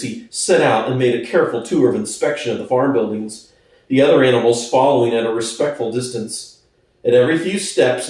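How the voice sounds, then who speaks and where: A man gives a dramatic storybook narration in a medium-pitched voice. The sound is heavily echoed, as if he stands some way from the microphone. The delivery is scripted and theatrical, in the manner of a classic audiobook. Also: a little mellow. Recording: very echoey room, distant from the mic.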